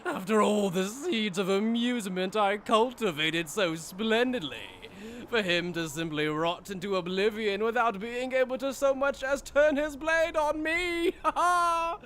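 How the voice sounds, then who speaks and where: An actor playing a sadistic, condescending male aristocrat villain in an anime fan dub, mocking and drawn-out in delivery. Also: high voice, a bit bright, slight background noise. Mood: gloating, gleeful.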